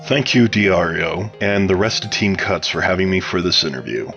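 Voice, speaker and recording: A man giving measured, articulate, explanatory answers as an interview guest, sounding prepared and read out. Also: low voice, expressive, gravelly, fairly bright tone, dull audio, faint music behind. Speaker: interview guest, game modder.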